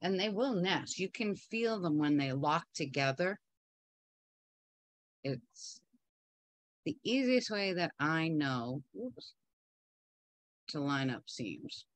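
A woman's voice: low voice, big pitch swings, slow, pauses often, dry recording. A middle-aged woman teaching quilting in a casual, practical way, chatting as she pins and sews in her home sewing studio. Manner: chatty, practical, talks while working.

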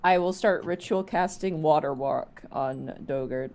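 Woman, a bit low in pitch, casual tabletop player talk. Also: a little slow, darkish tone.

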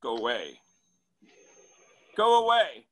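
Male actor as frightened old man, slow, piercing, stammering, pleading, radio horror drama. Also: mid-range voice, wildly swooping pitch, fairly bright tone, heavily acted. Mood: pleading, alarmed. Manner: stammering, crying out in alarm.

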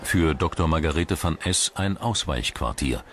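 Very deep-voiced male documentary narrator. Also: lively intonation, fairly bright tone, a little hiss or hum. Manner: measured, formal read delivery. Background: background music.

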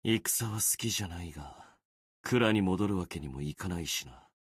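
A man voicing a gloomy, self-deprecating young warrior sword spirit in a video game, brooding and resigned, with a husky voice. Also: no echo, studio quality, full character voice. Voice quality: low, husky. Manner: brooding, resigned, muttering with trailing pauses. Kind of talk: Japanese video game character acting.

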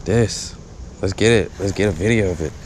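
A man's voice: low voice, moderate pace, darkish tone, a little hiss or hum. A man chatting casually to himself as a fishing vlogger, informal and repeating his phrases, recorded outdoors on a body-worn action camera. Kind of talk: casual solo vlog chat while fishing. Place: outdoors in a backyard beside a small pond.

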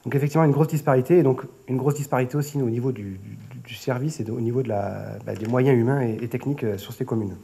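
A man's voice: mid-range voice, fast, gravelly, few pauses, mellow, husky. A man in his thirties with an accent from France, giving a semi-improvised conference presentation in a hesitant way, often repeating and rephrasing his words. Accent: French from France. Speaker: flood prevention project manager, conference speaker. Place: auditorium stage at a lectern. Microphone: lectern gooseneck microphone.

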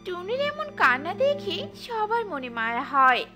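A woman narrating a cartoon story in an expressive storybook manner. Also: extremely high pitch, moderate pace, over music.

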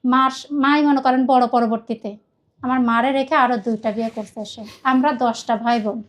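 High-pitched, fast-talking Bangladeshi woman. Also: steady tone. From Khulna, Bangladesh. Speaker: guest telling her life story. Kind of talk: personal confessional account in conversation.

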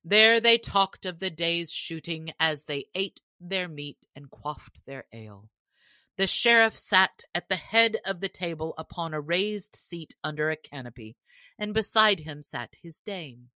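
A woman's voice: fairly low pitch, lively intonation, unhurried, pushed and bright, no echo. A woman narrating a classic storybook as an audiobook reader, in a scripted style.

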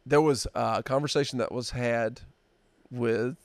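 Gravelly man telling a story slowly. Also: mid-range voice, steady tone, no room sound. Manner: halting, drawn-out, many fillers and restarts. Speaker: podcast host. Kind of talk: casual storytelling chat. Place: dark podcast studio. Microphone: desk podcast mic.

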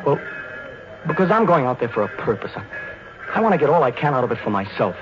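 A man acts as a cocky young American soldier in a 1940s radio play, selfish and plain-spoken. His mid-pitched voice comes through a dull, slightly noisy vintage broadcast. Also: very animated, quick pace, natural voice. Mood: awkward, blunt. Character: cocky, self-serving young American serviceman husband. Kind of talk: 1940s radio drama acting.

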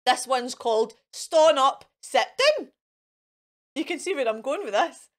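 A woman's voice: high voice, lively intonation, a little slow, fairly bright tone. A Scottish woman in her thirties presenting playfully to children as a fairy host, with an exaggerated, sing-song, coaxing and encouraging manner. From Scotland. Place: indoors in front of a fairy backdrop.

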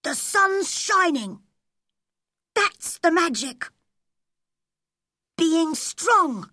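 An earnest young storybook boy, played by a woman narrator, speaks in a very high, swooping voice. He is excitable and hopeful, declaring things with wide-eyed fervour. The sound is close and dry. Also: no echo, heavily acted. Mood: fervent, chanting.

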